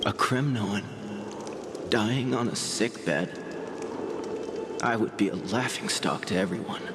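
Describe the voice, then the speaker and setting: A dying warrior speaks extremely slowly in a low, weakened voice. He is proud, loyal and tenderly resigned, in a fantasy game scene. The words echo in long reverb. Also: background noise. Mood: weak, tender farewell. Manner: weak, halting, drawn-out farewell speech. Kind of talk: dramatic video game acting. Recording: echoing reverb under loud music. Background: loud game music.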